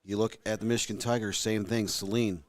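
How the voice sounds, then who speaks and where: Hoarse low-voiced male soccer analyst. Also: fairly even intonation. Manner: measured, explanatory analysis. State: hoarse, raspy voice. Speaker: color commentator. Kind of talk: live youth soccer commentary.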